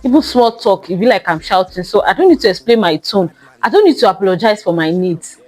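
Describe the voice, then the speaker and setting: A young woman hosting a casual monologue podcast, talking in a loud, emphatic way and drawing out the words she stresses. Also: mid-range voice, poor audio. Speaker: podcast host.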